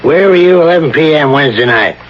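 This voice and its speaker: An actor playing a folksy, insinuating police chief in a vintage radio drama, questioning bluntly in colloquial phrases with sly pauses. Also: male voice, fairly high pitch, lively intonation, close to an everyday voice. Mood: interrogating. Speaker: actor in a radio mystery play. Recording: dull vintage radio broadcast, faint noise.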